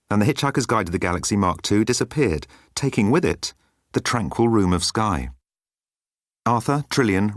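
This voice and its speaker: A man's voice: low voice, dry recording, studio quality. A man reading comic science fiction as a scripted audiobook narration.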